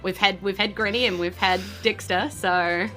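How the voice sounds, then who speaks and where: A woman with a New Zealand accent improvising narration as a tabletop game master in a conversational, halting way. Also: mid-range voice, steady tone, sharp tone. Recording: faint music behind the voice. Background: faint background music.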